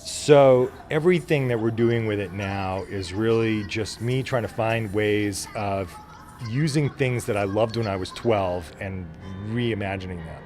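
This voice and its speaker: A man's voice: fairly low pitch, measured pace, darkish tone, soft background music. A man speaking informally and with enthusiasm as the guest in a casual interview, explaining his work as a writer and producer.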